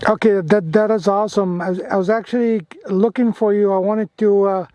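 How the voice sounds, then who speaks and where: A man's voice: high-pitched, fairly even intonation, fairly quick, warm tone. A man chatting casually as an amateur radio vlogger, effusive and given to repeating himself. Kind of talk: casual radio chat and hands-on commentary.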